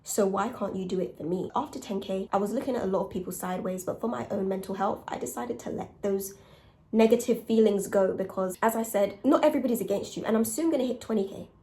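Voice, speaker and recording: A young woman with a raspy voice, talking candidly and conversationally in a casual solo confessional, with emphatic points. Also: mid-range voice, fast, warm tone. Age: young adult. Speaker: podcast host and content creator.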